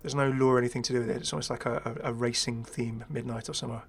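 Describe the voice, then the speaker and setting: A man's voice: medium pitch, level tone, racing, creaky, slight background noise. A man giving casual, rambling first-impressions commentary as a gaming video creator, chatty, enthusiastic and self-deprecating.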